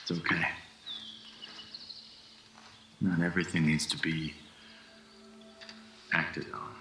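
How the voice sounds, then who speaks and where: An actor playing a wounded, gentle and proud ex-Marine, speaking in a terse, understated way in an audio drama. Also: male voice, rumbling low, level tone, a little slow, heavily acted. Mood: gently reassuring. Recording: roomy, with music behind.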